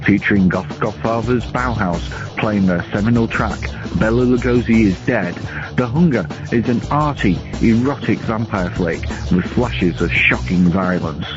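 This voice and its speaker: A man's voice: fairly low pitch, normal speed. A man reading a scripted film countdown as a guest reviewer. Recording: dull lo-fi recording under music. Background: music.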